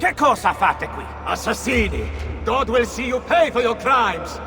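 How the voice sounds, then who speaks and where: An actor playing an outraged, zealous Italian guard of a holy place, declaiming accusations with an Italian accent in a video game. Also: male voice, extremely high pitch, sing-song, normal speed, creaky, music in the background, exaggerated voice. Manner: denouncing, declamatory. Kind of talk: video game cutscene acting.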